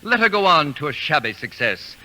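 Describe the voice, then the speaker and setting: An actor playing a pompous, erudite, unflappable gentleman with precise formal diction, in the manner of old radio comedy. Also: a little high, sing-song, brisk, heavily acted. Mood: lofty, scolding. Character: pompous, imperturbable, erudite middle-aged gentleman college student. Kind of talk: old American radio comedy-drama acting. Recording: noisy vintage radio broadcast recording.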